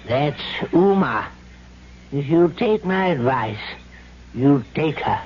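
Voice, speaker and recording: An actor playing a sly, breezy scheming trader in a 1970s radio drama, cajoling and jaunty. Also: male voice, a little high, lively intonation, slow, a little hiss or hum. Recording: dull vintage radio broadcast recording.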